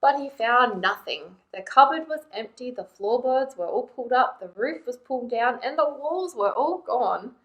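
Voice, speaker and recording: A woman reading a picture book aloud to young children in an expressive way, often turning to them with questions. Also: on the high side, normal speed, hardly any pauses, muffled recording. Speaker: children's librarian and storytime presenter.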